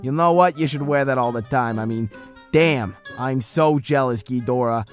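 A man's voice: medium pitch, moderate pace, soft background music, pitched below the performer's own voice, close to an everyday voice. A man voicing a cocky, laid-back giant lizard monster with a sarcastic, mocking manner in a cartoon dub. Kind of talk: cartoon comic dub voice acting.

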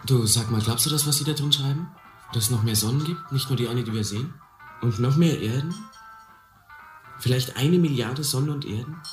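A man's voice: medium pitch, brisk, close to an everyday voice. An actor playing a blunt, ambitious young man from the harbour in a radio play, talking colloquially and cockily. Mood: probing, blunt. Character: streetwise, hungry, ambitious harbour youth. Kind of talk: German radio play acting. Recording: roomy, music behind.

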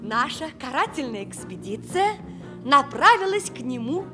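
A woman's voice: high-pitched, big pitch swings, moderate pace. An actress playing a mock-heroic young female storyteller, narrating a radio play. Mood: mock-solemn. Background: music.

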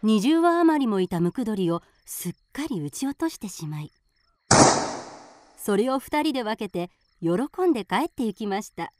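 Mellow woman narrating a folk tale. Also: medium pitch, very animated, moderate pace, a little hiss or hum. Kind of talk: scripted storybook narration for a radio drama.

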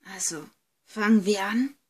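A woman playing a young friend braving a haunted villa, subdued and measured, in an amateur horror audio drama. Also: medium pitch, husky. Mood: tentative.

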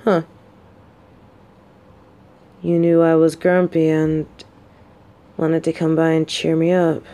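A woman plays a female military bodyguard in a romantic audio drama. Her voice is on the low side and mellow, with an unhurried pace. She is gruff on the surface but shy and lovestruck, halting and easily flustered. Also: little pitch movement. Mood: sulky, puzzled. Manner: halting, flustered, soft-spoken. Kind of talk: scripted romantic audio roleplay.

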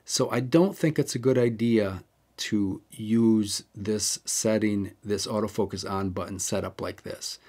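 A man's voice: fairly low pitch, a little slow, a little mellow. A man hosting a camera tutorial, talking casually and walking through settings step by step with the odd digression. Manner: chatty, digresses, explains step by step. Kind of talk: casual how-to walkthrough.

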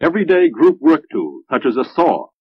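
An American man giving measured, instructional narration in the style of a 1950s military training film, on an old film soundtrack. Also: a little high, big pitch swings, breathy edge, no echo, muffled recording.

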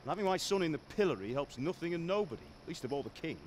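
A man's voice: on the high side, lively intonation, fast, a little mellow, slight background noise, natural voice. An actor playing a stern, proud middle-aged blacksmith father in a video game, plain-spoken and admonishing. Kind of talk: video game cutscene acting. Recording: game music behind the voice.

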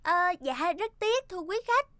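A woman's voice: extremely high pitch, sing-song, moderate pace, a bit bright, no room sound, studio quality, full character voice. A woman playing a flustered, polite hotel receptionist in a cartoon, hesitant and deferential. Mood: apologetic. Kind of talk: comic cartoon dubbing. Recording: children's music behind the voice.

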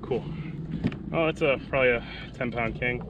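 Laid back guy doing kayak fishing vlog, mid pitch voice, kinda talking to himself, super loud wind and water noise outside. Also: moderate pace, muffled recording. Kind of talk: casual fishing vlog commentary. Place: outdoors in a kayak on open sea. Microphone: action camera mounted on kayak or body.

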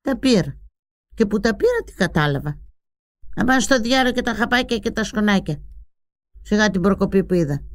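A bossy old pensioner mother who grumbles, nags and scolds, as a woman plays her, in a story reading. Her voice rises and falls with lively swings in a middle register. The sound is close and dry. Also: medium pitch, long pauses, no echo, lightly acted. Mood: grumbling. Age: older. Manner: scolding, chatty, complaining, with sudden outbursts. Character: older female pensioner mother, bossy, grumbling, sharp-tongued but doting. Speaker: narrator's mother in a dramatized memoir.

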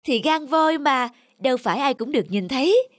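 A man voicing a small, nervous, quick-witted turtle in an animal fable cartoon. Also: very high voice, animated, fairly bright tone, dry recording, studio quality. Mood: bluffing.

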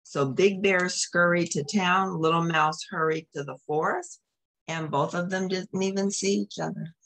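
A woman's voice: on the low side, a little slow, fairly bright tone, rough recording. A woman reading a picture book aloud as a storyteller, expressively, with explanatory asides to young listeners.

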